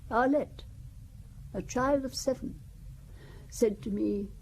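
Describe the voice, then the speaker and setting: A woman's voice: fairly high pitch, slow pace, darkish tone, breathy edge, full character voice, poor audio. An actress playing a wealthy older widow who talks about her family in a wry, confiding, gossipy way, in a radio drama. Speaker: Valentine Besson.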